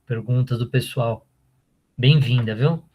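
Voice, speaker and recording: A man's voice: medium pitch, slow, darkish tone, slightly rough, poor audio. A man moderating a workshop and informally introducing a guest, hesitant and often adding tag questions.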